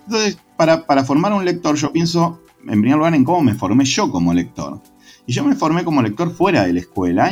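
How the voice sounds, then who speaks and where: An Argentine man speaks in a relaxed conversational interview, thoughtful and passionate, often restarting his phrases and hesitating. His voice sits at an ordinary middle pitch for a man. Faint music runs quietly underneath. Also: highs cut off. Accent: Argentine Spanish. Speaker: secondary school literature teacher.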